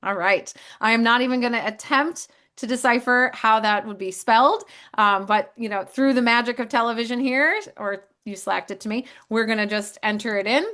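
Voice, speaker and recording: A woman's voice: a little high, normal speed, few pauses, bright, forceful tone. A woman in sales hosting a casual company demo, upbeat and friendly, with frequent fillers. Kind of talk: casual chat on video call.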